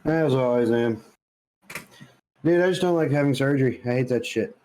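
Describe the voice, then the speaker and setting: Blunt, mid-pitched man chatting on a podcast. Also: moderate pace, warm tone, low-quality recording. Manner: blunt, opinionated, casually sweary. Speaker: podcast co-host. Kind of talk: casual podcast chat.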